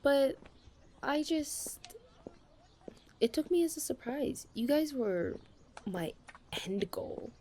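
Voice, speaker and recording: A woman's voice: high voice, expressive, takes it slowly, pauses often, darkish tone. A young woman playing a chatty, dramatic best friend in a casual ASMR audio roleplay. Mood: wistful, candid. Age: young adult. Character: chatty, caffeine-loving female best friend, self-deprecating about dating.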